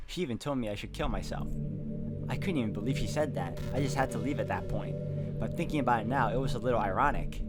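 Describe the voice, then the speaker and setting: A man's voice: medium pitch, expressive, quick pace, natural voice. A man voicing a sweet, obsessive schoolgirl club president from a visual novel, in a confiding, chatty, earnest way. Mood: confiding, possessive. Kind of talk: visual novel dialogue read aloud. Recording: music playing behind the voice.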